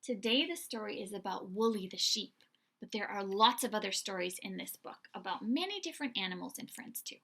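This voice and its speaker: A woman hosting a read-aloud for young children, telling the story in an expressive, deliberate way. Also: medium pitch, slightly rough. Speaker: children's story reader and host.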